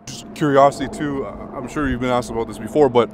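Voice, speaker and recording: A male interviewer asking questions in a loose, halting way. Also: medium pitch, slight background noise.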